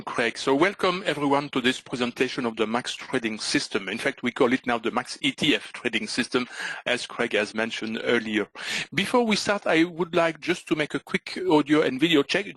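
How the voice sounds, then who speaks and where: A man's voice: medium pitch, brisk, a bit bright, no room sound, low-quality recording. A middle-aged Belgian man, not a native English speaker, presenting a live trading webinar with many fillers and restarts. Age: middle-aged or older.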